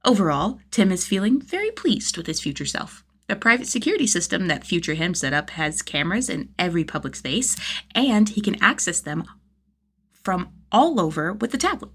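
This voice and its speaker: A woman's voice: on the low side, big pitch swings, moderate pace, fairly bright tone, breathy edge. A woman narrating fan fiction as a scripted audiobook-style reading, with a dramatic, emphatic delivery. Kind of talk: expressive scripted story narration.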